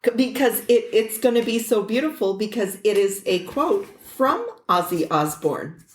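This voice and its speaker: Female podcast co-host with an average-pitched voice, enthusiastic, sweary, chatty delivery, recorded in an echoey room. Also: animated, normal speed. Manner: enthusiastic, profane. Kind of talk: casual chat.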